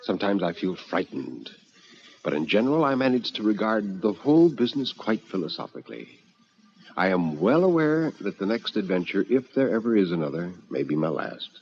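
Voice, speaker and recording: An actor playing an elderly, anxious but philosophical man, narrating in a deliberate, literary manner for radio drama. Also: on the low side, sing-song, slight background noise. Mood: reflective, resigned. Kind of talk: old-time radio dramatic reading. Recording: muffled vintage radio recording with music.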